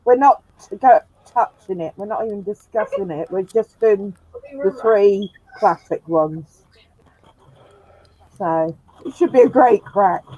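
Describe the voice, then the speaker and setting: A woman's voice: medium pitch, takes it slowly, dark tone, dry recording. A woman hosting a sci-fi live stream, chatting casually and laughing often, in a room with a glass roof. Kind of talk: casual chat. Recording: dull lo-fi dry sound.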